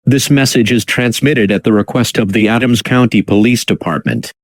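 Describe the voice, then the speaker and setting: A man reading a scripted emergency alert as an announcer, in a measured, official, impersonal manner. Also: mid-range voice. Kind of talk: formal scripted emergency broadcast.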